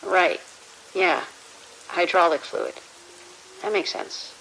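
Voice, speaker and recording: A woman's voice: on the low side, big pitch swings, slow, gravelly, a bit bright, a little hiss or hum, full character voice, rough recording. A woman playing a nervous security officer on night shift in an audio drama, speaking hesitantly with stammers. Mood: shaken, compliant. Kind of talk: immersive audio drama acting.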